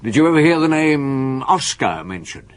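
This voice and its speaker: An actor playing a gruff, world-weary chief police inspector who questions people with terse authority in a radio drama. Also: male voice, mid-range voice, very animated, normal speed, natural voice. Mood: probing, stern.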